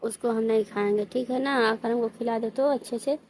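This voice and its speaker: Woman acting a high, mellow, coy sister-in-law. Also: fairly even intonation, normal speed, lightly acted. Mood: complaining. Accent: eastern Hindi belt. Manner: coy, complaining, colloquial. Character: coy, flirtatious married sister-in-law on the phone. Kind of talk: staged casual phone conversation. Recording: noisy phone-call style recording.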